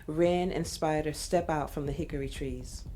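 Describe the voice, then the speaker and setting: A woman's voice: low voice, fairly even intonation, moderate pace, dark tone, slight background noise. A woman reading scene directions as a scripted narration.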